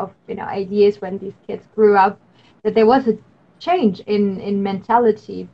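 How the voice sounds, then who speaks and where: A woman in her thirties from Germany with a German accent, answering interview questions as a guest scientist, earnest and rambling. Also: mid-range voice. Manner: earnest, rambling with frequent fillers and restarts. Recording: dull lo-fi audio.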